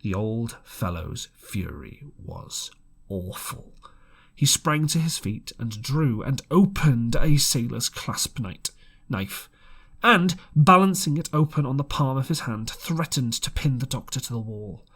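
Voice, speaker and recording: A man reading an adventure storybook aloud in an expressive amateur style, dramatic and slightly halting. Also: medium pitch, breathy edge. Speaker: audiobook reader.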